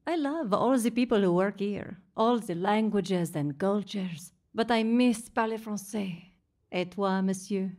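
An actress playing a plucky, devoted missionary wife in a radio drama. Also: female voice, medium pitch, measured pace, darkish tone, close to an everyday voice. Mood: warm, eager.

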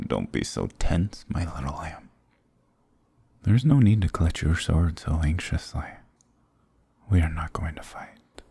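A man's voice: very deep voice, dark tone, slightly rough, no echo, exaggerated voice. A man voicing a sarcastic, dominant demon king in an ASMR roleplay, with a taunting, condescending, theatrical delivery.

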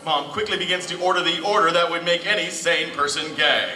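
A man's voice: a little high, brisk, pushed and bright, a little hiss or hum. A man playing a theatrical narrator in a live old-time radio show. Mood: storytelling. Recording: echoey hall, distant, music behind.

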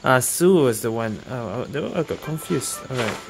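A game streamer giving casual, unhurried commentary, muttering reactions while playing. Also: medium pitch, animated, gravelly.

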